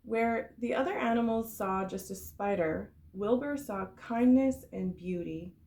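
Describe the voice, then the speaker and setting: A woman reads a children's story aloud with expressive phrasing. Her mid-pitched voice has a noticeable creak, and she tells it clearly for very young listeners, like a teacher in front of a kindergarten class. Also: measured pace.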